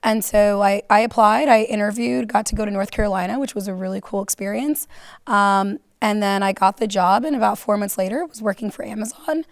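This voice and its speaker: A woman from Florida talks as a guest on a conference panel, middle-pitched and dry. She tells her story at length and fills her pauses with ums. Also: dry recording. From Florida, United States. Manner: talkative, storytelling with fillers. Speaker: panel guest, operations manager. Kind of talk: conversational stage panel. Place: conference stage.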